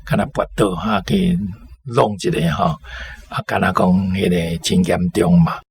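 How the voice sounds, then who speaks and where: A man's voice: deep voice, fairly even intonation, measured pace, gravelly, husky. A man giving casual, chatty commentary on stories for a radio programme.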